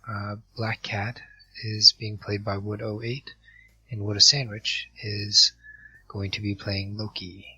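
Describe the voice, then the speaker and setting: A hoarse man with a cold commentating an online card game match, reading out card text with many halting fillers. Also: on the low side, sharp tone. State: hoarse from a virus. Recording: dull lo-fi mic with faint music.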